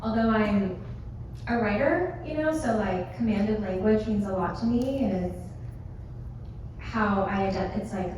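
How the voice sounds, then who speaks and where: A woman's voice: medium pitch, unhurried, darkish tone, lo-fi sound, slight background noise. A woman playwright from California speaking candidly and with self-deprecation on a panel. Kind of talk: casual panel talk. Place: theater stage. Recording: echoey hall, distant mic.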